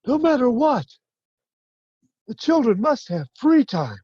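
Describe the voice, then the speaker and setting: A woman's voice: high voice, very animated, slow pace, dark tone, slightly rough, no room sound, muffled recording, heavily acted. A woman playing an earnest shoemaker hero who pleads with the villain in a community stage play. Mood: pleading.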